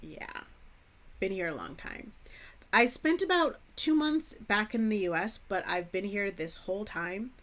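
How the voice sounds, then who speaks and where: An American woman from the Chicago suburbs who hosts an English-teaching vlog, speaking in a clear, deliberate teacher's manner with playful asides. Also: mid-range voice, expressive, a bit bright. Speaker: English teacher, channel host. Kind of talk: scripted educational vlog.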